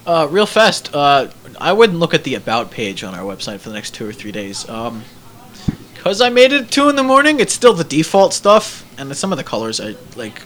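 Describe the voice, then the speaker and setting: A young podcast host running the show, joking in quick, offhand asides. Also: mid-range voice, wildly swooping pitch, fairly bright tone. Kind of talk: casual podcast chat.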